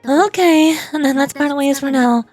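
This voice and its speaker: A woman's voice: high voice, moderate pace, darkish tone, faint music behind, natural voice. A woman voicing an eager, friendly companion in a fantasy game, reading the dialogue expressively. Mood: upbeat. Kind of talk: game dialogue read aloud.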